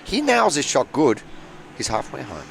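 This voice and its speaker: A middle-aged Australian man giving casual, excitable and opinionated live commentary on a pool match. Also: mid-range voice, normal speed, vocal fry. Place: pool venue, close to the players. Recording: very noisy live venue recording.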